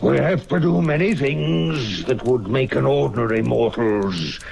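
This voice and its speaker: An actor playing a genial, sly older doctor mentoring a student, hearty and pompous, in an old-time radio drama. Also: male voice, medium pitch, animated, vocal fry, a little mellow. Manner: hearty, pompous, chuckling between deliberate phrases.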